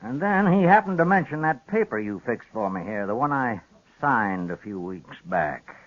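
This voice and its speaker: A man's voice: mid-range voice, big pitch swings, normal speed. An American actor playing an older, plain-spoken, stubborn rancher father with a folksy drawl in a 1950s radio western. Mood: wary. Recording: muffled vintage radio recording.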